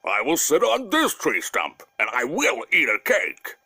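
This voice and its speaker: A man voicing a huge, gruff, bossy and gullible male bear in a children's storybook tale, with an emphatic, demanding delivery. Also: fairly high pitch, huge pitch swings, measured pace, pushed and bright, no echo, voice pushed up, full character voice. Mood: astonished, weary.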